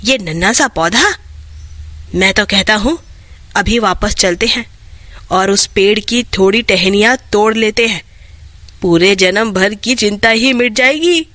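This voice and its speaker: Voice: medium pitch, a little slow, bright, forceful tone, no echo, voice pushed down. A storyteller voicing a greedy, impatient, scornful young man in a cartoon tale. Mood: angry, scornful.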